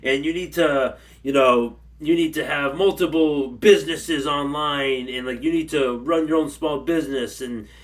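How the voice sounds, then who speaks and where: A man's voice: on the high side, normal speed, hardly any pauses. A man ranting casually to his camera at home, rambling and blunt, a little stuffy from allergies. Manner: rambling, blunt, repeats himself. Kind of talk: casual rant. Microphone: camera mic.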